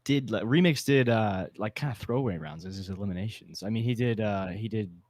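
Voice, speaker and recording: A young man with a fairly low voice chats informally as a podcast panelist, recorded close and dry. He is conversational and quick to give ground. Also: darkish tone, no echo. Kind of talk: casual group chat.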